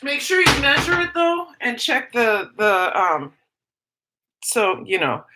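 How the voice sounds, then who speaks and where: A woman's voice: a little high, very animated, slow pace, very noisy. A woman with a Minnesota accent, chatting casually on a livestream, answering viewers in a rambling, self-interrupting way. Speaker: teacher and livestream host. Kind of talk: casual livestream chat, reading viewer comments.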